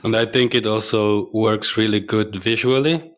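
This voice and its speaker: A man's voice: lowish voice, steady tone, moderate pace, fairly bright tone. A man with a Swiss German accent, a band's drummer, talking easily in an interview. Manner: easygoing, talkative. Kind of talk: casual interview.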